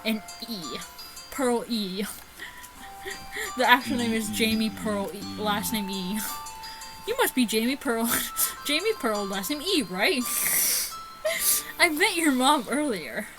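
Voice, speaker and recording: A woman's voice: fairly high pitch, big pitch swings, slow pace, long pauses, rough recording. A woman giving casual, sweary let's play commentary and reading a visual novel aloud, giggly and stumbling over the text. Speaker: gaming YouTuber. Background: music behind the voice.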